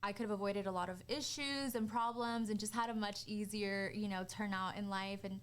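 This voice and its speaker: A woman in her twenties co-hosts a casual podcast. Her pitch sits in the middle range for a woman. She talks candidly and informally, with plenty of "like" woven through. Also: steady tone, brisk, clear, resonant tone. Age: 20s. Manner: informal, candid, peppers talk with "like". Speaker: podcast co-host.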